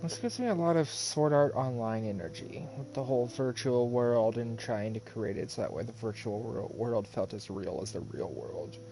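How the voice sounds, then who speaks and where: A man's voice: mid-range voice, moderate pace, few pauses, warm tone, slight background noise, poor audio. A man giving casual, musing commentary as he streams a video game. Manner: chatty, musing. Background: faint game music.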